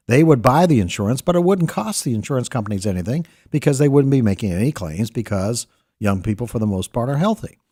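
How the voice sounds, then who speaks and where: A man's voice: mid-range voice, darkish tone. An American man hosting talk radio, delivering a news monologue emphatically, repeating key phrases and putting questions straight to his listeners. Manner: addresses listeners directly with rhetorical questions. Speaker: radio talk show host. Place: radio studio.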